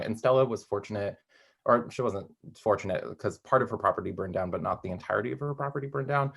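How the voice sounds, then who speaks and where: A man with a low voice speaks as an interviewed writer. His delivery is casual and rambling, full of hesitations as he thinks aloud and reworks his sentences. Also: a bit bright. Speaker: interviewed playwright.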